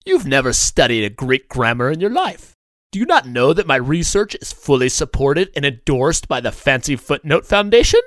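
A man's voice: mid-range voice, expressive, normal speed, dry recording, clean studio sound, natural voice. A man voicing a proud, snobbish male turkey scholar in a children's story, with a haughty, pompous manner. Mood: irritated, proud.

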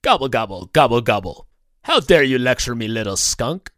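A man's voice: mid-range voice, lively intonation, moderate pace, no echo, clean studio sound, close to an everyday voice. A man voicing a proud, snobbish male turkey scholar in a children's story, with a haughty, pompous manner. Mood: irritated, proud.

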